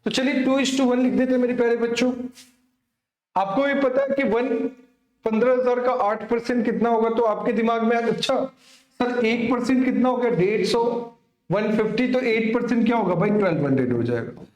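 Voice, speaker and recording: High-pitched man teaching. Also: normal speed, a little mellow. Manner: instructive, often asks and answers own questions; addresses students familiarly. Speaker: maths teacher. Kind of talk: exam coaching lesson, working through a problem. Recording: echoey room.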